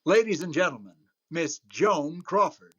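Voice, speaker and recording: An actor playing a polite, upbeat master of ceremonies in 1930s radio show style, on a slightly dull recording. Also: male voice, on the high side, animated, unhurried, over loud music. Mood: upbeat, presenting. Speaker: show host.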